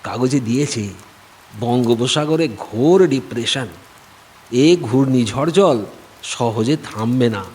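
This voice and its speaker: A man's voice: mid-range voice, a little slow, pauses often, darkish tone, breathy edge. A man playing a wry, tight-fisted Bengali husband and father-in-law in an audio drama, with droll colloquial delivery. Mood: smug.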